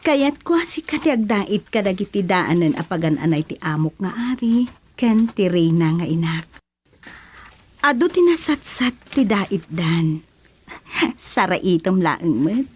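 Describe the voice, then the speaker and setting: A woman's voice: fairly low pitch, big pitch swings, a little slow, a little mellow, husky, exaggerated voice. A woman playing a welcoming princess of a spirit world in a radio drama, coaxing and reassuring as she speaks.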